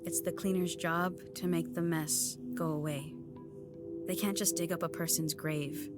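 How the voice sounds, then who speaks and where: A woman playing a grieving, sharp-tongued young female agent in an audio drama, blunt and defiant in manner. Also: fairly low pitch, steady tone, measured pace, over loud music, lightly acted. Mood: resigned. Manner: blunt, sarcastic, swearing. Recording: noisy ambience behind the voice.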